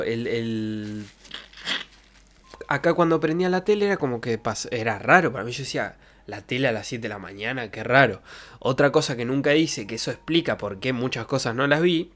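A young man with an Argentine accent from Santa Fe talks in a medium-pitched voice. He chats like a streamer telling stories to his viewers, loose, colloquial and jokey. He speaks close into a desk microphone. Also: a bit bright. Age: young adult. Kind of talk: casual livestream chat, reminiscing. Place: bedroom streaming setup. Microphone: close desk microphone with pop filter.

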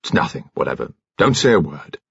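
Man doing a blustering, bashful commander, husky. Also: very deep voice, very animated, a bit bright, dry recording, dull audio, pitched below the performer's own voice, full character voice. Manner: clipped, hearty, halting.

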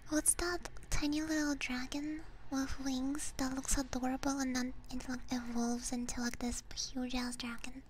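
A woman streams ASMR in a breathy whisper, chatting informally with her viewers. When her voice comes through, it is high and soft. She is close on a binaural mic, with some background noise. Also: low-quality recording. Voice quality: breathy, mostly unvoiced whisper. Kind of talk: whispered ASMR, casual live-stream chat. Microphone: binaural ASMR microphone.

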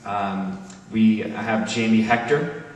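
A man presenting a cast to an audience in a halting way, with frequent pauses. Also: on the low side. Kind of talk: spoken introductions to an audience. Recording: echoey hall, distant microphone.

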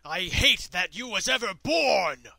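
An actor playing a drunken, abusive, brutish father in a comic dub, with a harsh gravelly voice. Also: high voice, big pitch swings, measured pace, bright, forceful tone, full character voice. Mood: drunken rage. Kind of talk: amateur comic dub acting.

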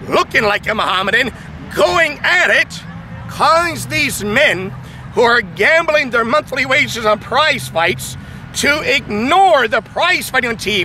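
High-voiced man preaching outdoors by traffic. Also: bright, forceful tone, poor audio. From Thailand. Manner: emphatic, repetitive preaching with gestures. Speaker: street preacher. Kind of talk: outdoor sermon storytelling. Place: large open park at dusk, outdoors. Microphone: handheld mic, camera at mid distance. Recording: outdoor recording with clear traffic noise. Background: city traffic.

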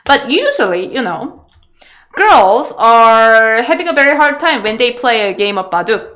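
Korean lady go teacher on a webcam call, bright slightly high voice, chatty, halting english. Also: big pitch swings. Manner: chatty, switches languages, answers chat questions in halting English. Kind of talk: live online lecture Q&A. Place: small room indoors. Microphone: webcam on video call.

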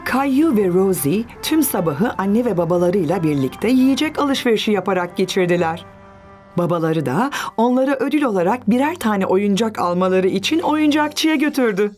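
A woman narrating a children's cartoon as a gentle storybook narrator, telling the story clearly. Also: mid-range voice, lively intonation, normal speed, soft background music, natural voice. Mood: gentle storytelling.

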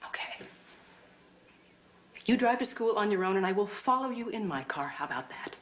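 A woman's voice: mid-range voice, lively intonation, normal speed, fairly bright tone, close to an everyday voice. A middle-aged woman playing an anxious, protective mother in a naturalistic TV drama. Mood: worried, conciliatory. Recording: dull sound, faint background noise.

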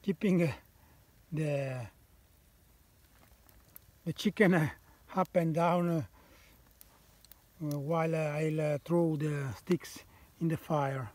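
Slow, halting man by a campfire. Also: fairly high pitch, fairly even intonation, darkish tone, no echo. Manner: halting, many "uh" fillers, explaining as he works. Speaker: bushcraft channel host. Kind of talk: casual outdoor demonstration. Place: outdoors on a wooded hillside by a campfire. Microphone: camera on the ground a few meters off.

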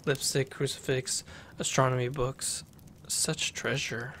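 Young male streamer, flat even read-aloud delivery, headset mic, faint background hiss. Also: medium pitch, measured pace. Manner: even, steady reading with occasional restarts. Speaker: gameplay streamer. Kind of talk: reading game text aloud while playing. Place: small room at home. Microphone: headset with desk mic.

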